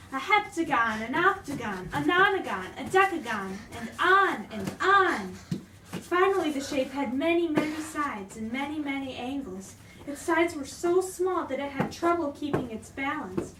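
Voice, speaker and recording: A woman reading a storybook aloud in an expressive, theatrical way. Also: high-pitched. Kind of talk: dramatic storybook read-aloud. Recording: roomy, distant microphone with clear noise.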